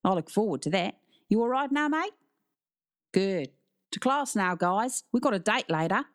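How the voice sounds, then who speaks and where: A woman's voice: mid-range voice, animated, normal speed, voice pushed up, lightly acted. Woman voicing a breezy young Australian male coach. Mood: matey, upbeat. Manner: breezy, slangy, commanding but friendly. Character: young Australian male sports teacher, stocky, breezy and matey.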